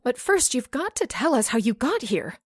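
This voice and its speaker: Woman doing a scared heroine from an old radio horror, high pitched, hesitant, very dramatic. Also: sing-song, moderate pace, husky, no echo, clean studio sound. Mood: distraught. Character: frightened, fraught woman threatened in a horror thriller.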